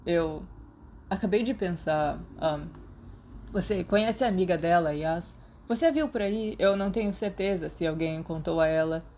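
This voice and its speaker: A woman's voice: lowish voice, moderate pace, dark tone, slight background noise, close to an everyday voice. A woman reader voicing a hesitant, reserved teenage boy. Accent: Brazilian Portuguese.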